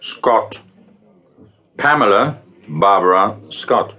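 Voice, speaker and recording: A man's voice: lowish voice, animated, extremely slow, sharp tone, slightly rough, full character voice. An actor playing a cool, unflappable male police detective in a German audio drama, speaking with dry irony and composure. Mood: cool, probing. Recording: music under the dialogue.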